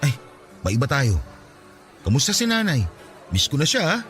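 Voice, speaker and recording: An actor playing Raj, a caring young man giving his childhood friend advice, in a radio drama with soft music underneath. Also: a little high, huge pitch swings, moderate pace.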